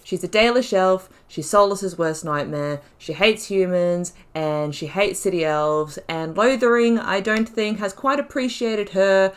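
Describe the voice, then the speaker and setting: A woman's voice: mid-range voice, a little slow, few pauses. A woman giving casual gameplay commentary as the host, chatty and giggly, thinking aloud as she goes. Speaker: gaming YouTuber, host of a playthrough.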